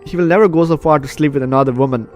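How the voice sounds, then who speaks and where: A man's voice: a little high, very fast. A man hosting a casual let's play, chatting and reading a story game's text aloud. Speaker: gaming video host. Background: game music.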